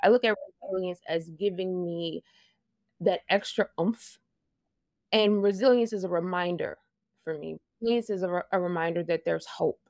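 A woman with a Louisiana Southern accent talks in a lowish voice, reflective and earnest, often laughing and starting her phrases over. The recording is close and dry, with no room sound. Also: normal speed, creaky, a little mellow, no echo. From Louisiana, USA. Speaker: interview guest, fashion project manager. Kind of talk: casual conversational interview.

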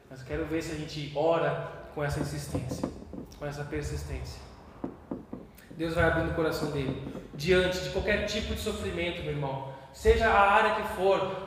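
A Brazilian man preaching a sermon with passion, moving between hushed and loud. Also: sits high, slight background noise. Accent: Brazilian Portuguese. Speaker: pastor. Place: church hall. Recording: echoey church hall with long reverb.

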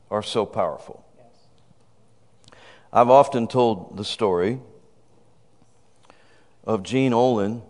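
A man in his fifties preaching a sermon, exuberant and calling out praise. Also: medium pitch. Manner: exuberant, calls out praise and shouts "woo". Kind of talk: sermon with a congregant. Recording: reverberant hall.

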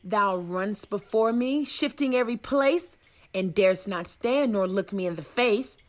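A woman's voice: medium pitch, expressive, unhurried, natural voice. A woman playing a proud, combative young male duellist in a Shakespearean radio play, declaiming challenges in verse. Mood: angry, challenging.

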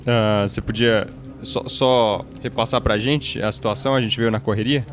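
A man's voice: lowish voice, steady tone, normal speed, noisy background. A Brazilian man playing a tabletop game in a casual, conversational role-play style.

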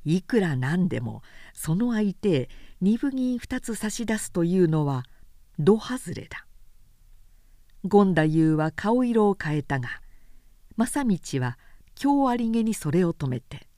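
A woman narrator giving a measured, expressive professional reading of a period story. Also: on the low side, no echo, clean studio sound.

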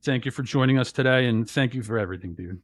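A man from New Jersey with a loud bass voice, co-hosting a casual podcast and telling rambling stories full of restarts and fillers. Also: quick pace, dry recording. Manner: rambling storyteller, lots of "you know" and restarts. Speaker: podcast co-host.

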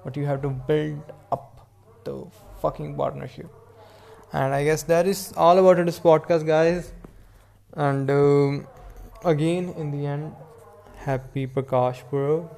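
A man with an Indian accent hosts a casual solo podcast in a rambling, halting manner. His voice is dark and fairly flat in pitch. A light layer of background noise sits under the recording. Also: vocal fry. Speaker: podcast host.

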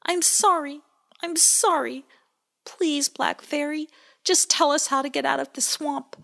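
A woman's voice: high voice, steady tone, unhurried, gravelly, pushed and bright, voice pushed up, exaggerated voice. A woman voicing a small, greedy storybook hero who is earnest and pleading.

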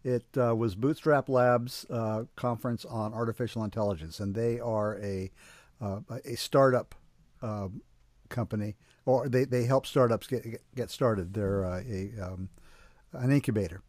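A man hosting an interview, talking in a halting, searching way with many restarts. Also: lowish voice, a little mellow, no room sound. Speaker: interview host. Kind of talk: conversational interview.

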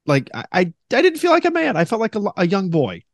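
Give me a deep-voiced former radio man chatting on a podcast, lively and expressive, fairly high. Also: a little fast, no room sound, studio quality. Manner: animated, breaks into high mocking impressions of radio announcers. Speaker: podcast host. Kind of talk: casual podcast chat.

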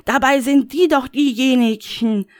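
A man's voice: fairly even intonation, unhurried. A man voicing a furious, paranoid, foul-mouthed man in his thirties who rants and swears. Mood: ranting angry. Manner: shrill, ranting, swearing. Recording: echoey room with long reverb.

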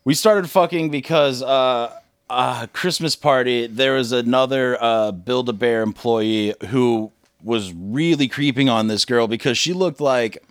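A man's voice: medium pitch, unhurried, clear, resonant tone, no echo, studio quality. A man co-hosting a comedy podcast, telling a crude story in a blunt, profane, loose way. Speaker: podcast co-host.

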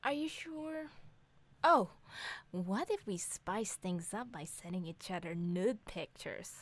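A woman playing a sharp-tongued, bossy wife and mother who teases bluntly in a scripted audio comedy drama. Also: medium pitch, lively intonation, takes it slowly. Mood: dry teasing. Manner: sarcastic, bantering, blunt.

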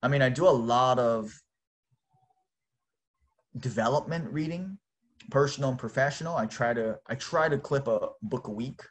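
Guy, native japanese speaker, chatting casually as host, slangy and exclamatory, medium pitch. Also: moderate pace, long pauses. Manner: informal, exclamatory, says "dang" and "man". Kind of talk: casual chat to listeners.

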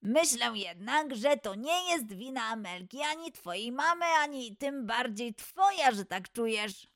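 A woman playing a caring, patient young male animal friend in a children's storybook, speaking in a gentle, reassuring, measured way. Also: on the high side, expressive, no room sound, clean studio sound, pitched below the performer's own voice. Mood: gentle, understanding.

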